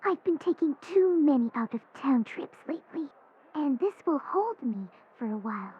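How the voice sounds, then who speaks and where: Lady doing soft-hearted girl pony, high voice, thin tinny audio, cartoon audio drama. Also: sing-song, normal speed, a little hiss or hum. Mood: concerned, hesitant. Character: gentle, caring female pegasus pony wrestler. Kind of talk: scripted fan audio drama acting. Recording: thin phone-like band.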